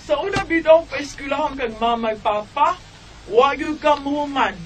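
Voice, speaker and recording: A performer voicing a stern aunt who scolds and insists on the rent, in a radio drama. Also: male voice, extremely high pitch, moderate pace. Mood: scolding. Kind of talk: Bisaya radio drama acting.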